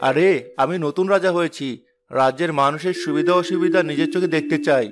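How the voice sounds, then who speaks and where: A man playing a restless, sulky young prince who craves freedom in an animated fairy tale. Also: fairly high pitch, a little fast, music in the background, lightly acted. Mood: eager.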